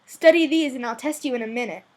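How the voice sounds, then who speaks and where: A woman's voice: fast talker, background noise, close to an everyday voice. A woman voicing a cool, reserved but caring teenage boy in an amateur anime fan-fiction reading. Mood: cool, quiet. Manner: subdued, low-key delivery.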